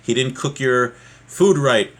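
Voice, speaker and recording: A man's voice: medium pitch, measured pace, fairly bright tone. Man teaching an informal religious class. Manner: plain-spoken, conversational, explanatory asides. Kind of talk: informal lesson, reading a text aloud and explaining it.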